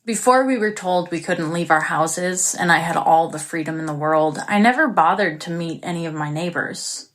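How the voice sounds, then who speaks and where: A woman's voice: lowish voice, moderate pace, few pauses, fairly bright tone, natural voice. An actress playing an isolated, anxious young woman who recounts her story in an intimate, confessional way for an audio drama. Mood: reflective. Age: young adult. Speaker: actress performing a monologue. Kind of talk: dramatic first-person audio drama narration.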